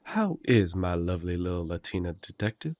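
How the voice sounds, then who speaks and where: A man's voice: low-pitched, big pitch swings, fairly quick, a little mellow, no echo. A man playing a cheery, blunt country werewolf big brother in an immersive audio drama, with a folksy, teasing, hearty way of talking.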